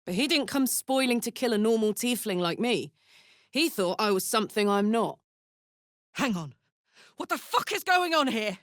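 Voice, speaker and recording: A woman's voice: mid-range voice, no echo, lightly acted. Woman doing brash tiefling fighter lady for a game, sweary n blunt but kind, teasing, totally dry studio sound. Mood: bitter, confused. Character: brash, warm-hearted tiefling warrior woman, tough and playful. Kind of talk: video game character voice lines.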